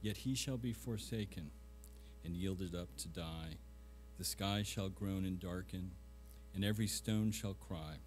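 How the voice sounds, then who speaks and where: A man's voice: sits low, a little slow, darkish tone, faint music behind. A middle-aged man speaking and reciting as a guest reader at a live poetry event.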